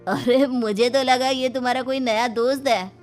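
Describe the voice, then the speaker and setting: A woman's voice: high-pitched, fairly even intonation, normal speed, soft background music, close to an everyday voice. A woman voicing bold, teasing young characters in a Hindi cartoon story.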